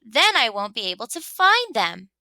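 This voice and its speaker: Woman as a grumbling, cranky storybook rabbit, high-voiced. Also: sing-song, moderate pace, bright, forceful tone, dry recording, full character voice. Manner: grumbly, complaining, emphatic. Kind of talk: children's storybook read-aloud.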